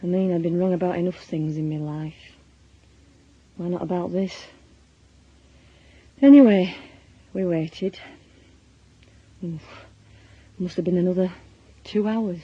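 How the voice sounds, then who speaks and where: An actress playing a middle-aged, sorrowful, bewildered mother with a Northern English accent, narrating a plain-spoken, halting radio drama monologue. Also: on the low side, dark tone, breathy edge. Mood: subdued, reflective. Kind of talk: reflective first-person radio drama monologue. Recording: dull, muffled radio recording.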